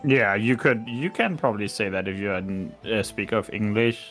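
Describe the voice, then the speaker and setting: A man's voice: fairly low pitch, moderate pace, a bit bright, faint music behind. A man casually commenting on and puzzling out video game voice lines.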